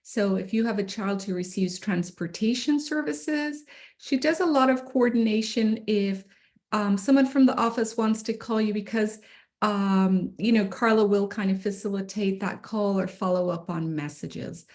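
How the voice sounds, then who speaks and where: A middle-aged woman walks parents through slides on a video call, talking in an easy, informal way and pausing on ums. Her pitch sits in the middle of the range for a woman. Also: darkish tone. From Ireland. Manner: friendly, informal presenting with frequent ums. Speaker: special education administrator. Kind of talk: presenting slides at an online parent meeting.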